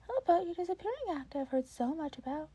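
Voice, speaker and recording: A woman's voice: extremely high pitch, very animated, fast, mellow, voice pushed up. A woman voicing a small, furry male creature, a polite and eagerly curious mentor with formal, inquisitive phrasing in an audiobook-style story. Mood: excited, curious.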